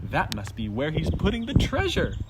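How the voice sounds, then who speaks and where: A man puts on an eager fox for a children's tale. The fox sounds earnest and thoughtful, swooping widely in pitch. The recording has clear background noise. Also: huge pitch swings, moderate pace, far from the mic. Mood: confident, excited. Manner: musing, earnest.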